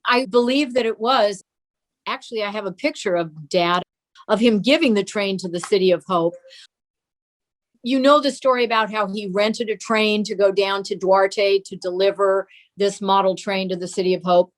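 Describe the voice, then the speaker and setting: Reminiscing woman, conversational, mid pitch. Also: normal speed, rough recording. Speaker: interview guest. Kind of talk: casual storytelling reminiscence.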